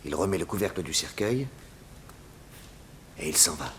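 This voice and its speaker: An actor playing a brisk, watchful detective in a 1960s French radio serial, giving urgent, conspiratorial orders. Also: male voice, sits low, lively intonation, fairly bright tone. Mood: hushed urgency. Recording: noisy old radio recording with clicks.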